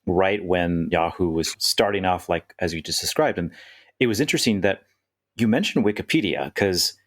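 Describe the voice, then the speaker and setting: A man's voice: deep voice, normal speed. A middle-aged man co-hosting a wine podcast, who talks in a loose, rambling, self-deprecating way. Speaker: podcast co-host, wine expert. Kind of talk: casual podcast chat.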